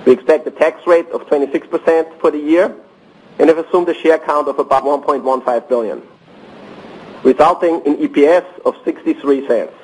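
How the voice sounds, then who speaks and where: A man reads financial results in a measured, businesslike tone. His voice sits a little high for a man. The sound is conference call audio. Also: a little mellow, husky, rough recording. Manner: measured, formal, businesslike delivery. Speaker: company finance executive. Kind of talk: reading prepared earnings remarks on a conference call.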